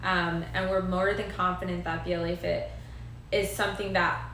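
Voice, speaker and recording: A woman's voice: fairly low pitch, level tone, moderate pace, a bit bright. A young woman hosting a live stream, chatting in an upbeat way with many fillers. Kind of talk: casual live-stream chat. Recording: very echoey room, faint noise.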